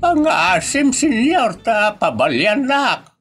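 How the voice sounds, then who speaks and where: High whiny old Filipino grandpa. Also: male voice, big pitch swings, slow pace, fairly bright tone, soft background music, exaggerated voice. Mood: agitated, whining. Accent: Ilocano Filipino. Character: excitable, whining old grandfather. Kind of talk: comedy audio drama acting.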